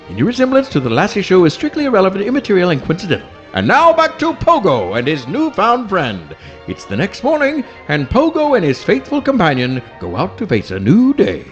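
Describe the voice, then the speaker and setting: A man's voice: high voice, lo-fi sound. A man playing an emphatic, showy announcer and narrator in a mock old-time radio programme. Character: excitable radio announcer reading mock sponsor spot.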